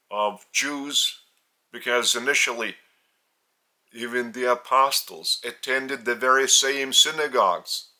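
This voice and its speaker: A man with a Lithuanian accent, a spiritual teacher speaking in short halting phrases with restarts. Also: medium pitch, bright, forceful tone.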